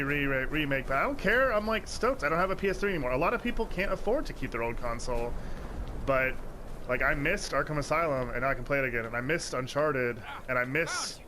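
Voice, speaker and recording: A young man talking casually over a game he is playing, wandering from thought to thought and filling gaps with "like" and "um." His voice sits at an ordinary middle pitch and leaps up when something excites him. A steady hiss of noise runs underneath the whole recording. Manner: rambling, heavy use of "like" fillers. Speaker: let's play commentator. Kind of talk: casual rambling commentary over gameplay.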